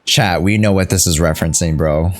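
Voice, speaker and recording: A man's voice: low voice, brisk, soft background music. A young man giving casual, slangy live commentary as a game streamer. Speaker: game livestreamer.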